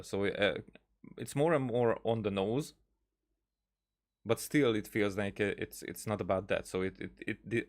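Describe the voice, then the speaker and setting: A man giving casual game commentary, thinking aloud in a halting, rambling way. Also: lowish voice. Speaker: gaming streamer.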